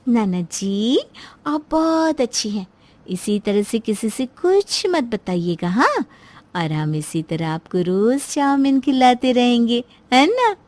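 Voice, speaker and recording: A woman's voice: a little high, big pitch swings, slow pace, darkish tone, dry recording, voice pushed up. A woman performs a crafty young sister-in-law in a storybook drama. The voice is raised and syrupy, coaxing with false sweetness. It is recorded dry and close. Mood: sugary coaxing. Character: sly, sugary young sister-in-law manipulating the innocent. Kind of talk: moral story voice acting.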